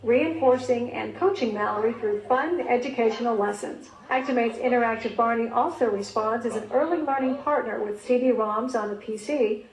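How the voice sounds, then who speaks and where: Woman, scripted promo narration, slightly raised pitch, echoing recording. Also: moderate pace, hardly any pauses.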